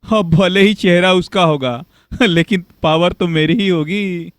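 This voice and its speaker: A male voice actor plays a domineering, boastful small-town politician for a cartoon. His voice is a little high for a man, self-important and bossy, in a dry close recording. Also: measured pace, no echo. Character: bossy, corrupt, boastful local politician husband. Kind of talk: Hindi cartoon voice acting.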